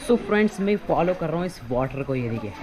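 A man's voice: a little high, expressive, quick pace, rough recording. A young man walking a village street, chatting to his viewers as a travel vlogger. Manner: chatty, addresses viewers as friends, mixes English words in. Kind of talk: casual walking-tour vlog. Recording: street crowd chatter behind.

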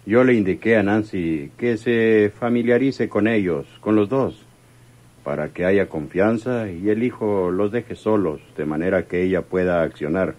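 An actor playing a domineering, impatient crime boss in a radio serial, commanding and sardonic. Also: male voice, fairly low pitch, a little slow, a little mellow, close to an everyday voice. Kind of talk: radionovela acting.

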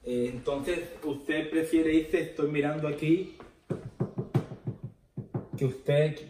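A man's voice: fairly high pitch, fairly even intonation, slow, far from the mic, background noise. Prank-call airline agent, played by a man: formal, apologetic, hesitant, Galician, recorded roomy and off-mic. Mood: formal, polite. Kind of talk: improvised prank phone call. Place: living room, indoors.

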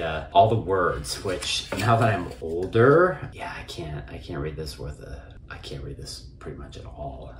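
A distant, echoey and noisy home-office recording of an American man in his fifties. He talks casually to the camera like a vlogger. His delivery is conversational and nostalgic, wandering from thought to thought. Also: sits low. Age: 50s. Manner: rambling, conversational. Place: small home office, indoors.

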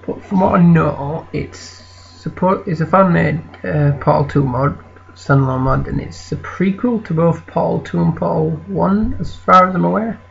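Croaky British man chatting over a game. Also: on the high side, moderate pace, a bit bright, muffled recording, slight background noise. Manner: rambling, self-correcting. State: croaky voice from an infection. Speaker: let's play host. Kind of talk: casual gameplay commentary.

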